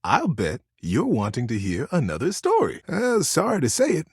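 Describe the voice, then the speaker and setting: A man voicing a devoted, sentimental teddy bear in a video game, talking in a gentle, folksy, earnest way with little stammers. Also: fairly high pitch, wildly swooping pitch, normal speed, slightly rough, dry recording, studio quality, heavily acted. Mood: cozy, encouraging. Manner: folksy, earnest, cozy wording with hesitant stammers. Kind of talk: video game character acting.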